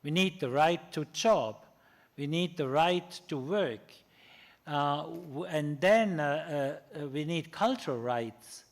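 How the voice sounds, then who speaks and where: A man whose first language is German, giving a public lecture in English, halting often with fillers and restarts. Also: a little high. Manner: pauses on single words, slow in places.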